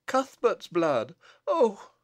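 A man's voice: high-pitched, huge pitch swings, extremely slow, dry recording, pitched above the performer's own voice, heavily acted. A man voicing a fierce, frantic peasant witch with impassioned, shrieking archaic speech in a gothic storybook. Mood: desperate, pleading.

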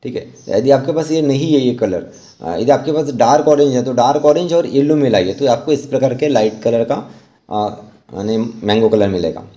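Man, mid-pitched, dark relaxed tone, art instructor, informal tutorial narration, halting delivery.

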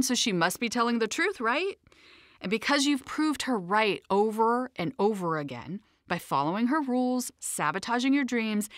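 A woman's voice: on the high side, a bit bright, dry recording, studio quality. A woman reading her own self-help audiobook in a conversational, emphatic and playfully informal way.

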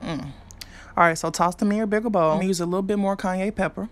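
A woman's voice: mid-range voice, fast. A young woman hosting her own cooking vlog, chatting brashly and cursing freely as she talks viewers through a recipe in her home kitchen. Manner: brash, profane, chatty, speaks straight to viewers. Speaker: cooking vlogger. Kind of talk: casual cooking walkthrough.

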